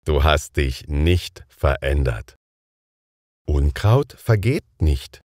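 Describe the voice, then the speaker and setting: A man's voice: extremely low pitch, animated, darkish tone, no room sound, clean studio sound. A man reading a scripted everyday dialogue for language learners, each word carefully enunciated. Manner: slow, carefully enunciated, deliberate. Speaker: dialogue speaker in a language lesson.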